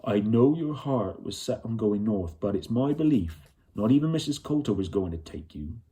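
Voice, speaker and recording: A firm, protective older leader of river folk from a storybook, acted out by a male reader. He speaks with stern authority in a folksy way, with a dark, soft-edged tone. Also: fairly low pitch, expressive, moderate pace, close to an everyday voice.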